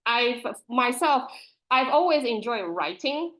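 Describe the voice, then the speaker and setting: A woman in her forties from Taiwan, presenting as a teacher librarian and self-published author in a video-call webinar. She talks candidly and earnestly, with soft nervous laughs between phrases. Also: on the high side, expressive, takes it slowly, low-quality recording.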